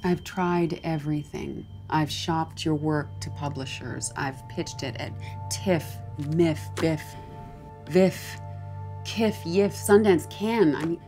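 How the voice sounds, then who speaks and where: A woman acting as a brisk, blunt middle-aged literary agent in a film drama. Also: low voice, warm tone, soft background music. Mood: blunt, exasperated. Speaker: actress in a short film. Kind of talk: film dialogue. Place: office interior.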